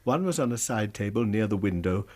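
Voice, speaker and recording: An actor playing an anxious, proper British college lecturer in a radio drama, speaking formally and precisely. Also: male voice, fairly low pitch, animated, fairly quick, a little mellow, natural voice. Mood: earnest recounting.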